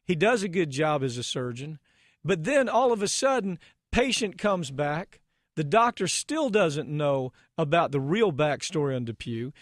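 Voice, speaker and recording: A man's voice: a little high, animated, unhurried, no echo, studio quality. An American man hosting a radio talk show, speaking emphatically and indignantly with rhetorical questions.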